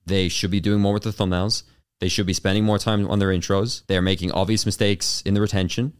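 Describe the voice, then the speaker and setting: A young man with an Irish accent, a guest on an interview show, answering in a casual, rapid way full of fillers and restarts. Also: deep voice, fairly even intonation, clear, resonant tone. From Ireland. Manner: fast, filler-heavy with many restarts. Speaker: YouTube consultant, guest.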